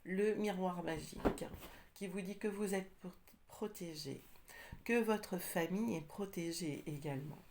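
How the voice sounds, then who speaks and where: Unhurried woman with a cold reading tarot. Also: on the low side, background noise. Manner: hesitant, with many pauses and fillers. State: has a cold, slightly congested. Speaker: tarot card reader. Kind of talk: casual tarot reading for viewers.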